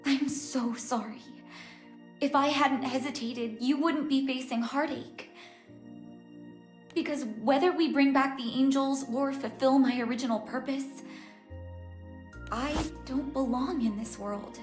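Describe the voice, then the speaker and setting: A youthful dragon priestess and devoted mother in a dubbed game. Her voice sits fairly high and rings with echo. Instrumental music with guitar plays clearly behind her. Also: steady tone, a little slow, long pauses. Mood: sorrowful. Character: young-looking priestess of a dragon god, long-lost doting mother. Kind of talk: English game dub voice acting. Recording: echoing voice under prominent music.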